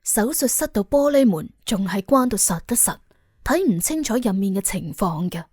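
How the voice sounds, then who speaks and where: A woman's voice: medium pitch, animated, moderate pace, a little mellow, husky, no room sound, clean studio sound. A woman with a Cantonese accent reading a scripted suspense audiobook as its narrator.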